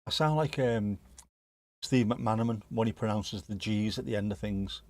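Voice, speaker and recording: A man's voice: fairly low pitch, normal speed, a little mellow, no room sound. A man with a Scouse accent, hosting a livestream and chatting casually with viewers, joking and swearing now and then. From Liverpool area, England. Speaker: livestream host. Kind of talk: casual chat reacting to live chat.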